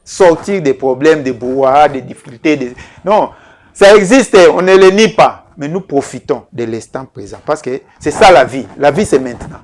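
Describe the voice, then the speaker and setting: A man's voice: fairly high pitch, animated. Earnest fiftyish male life coach. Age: 50s. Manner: slow, repetitive, earnest, addressing viewers directly. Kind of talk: televised coaching talk.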